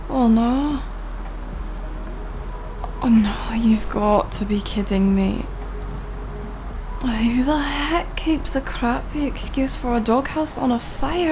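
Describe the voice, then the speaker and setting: Woman acting a weary Scottish goth girl, very slow delivery, gravelly fry, sardonic yet tender, intimate audio roleplay, rain behind. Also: high voice, background noise, exaggerated voice. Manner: sardonic, muttering, softly cooing, sighing. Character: tired goth nightclub worker, grumpy but tender rescuer. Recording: rain and city ambience behind voice. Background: rain on surfaces, thunder, city ambience.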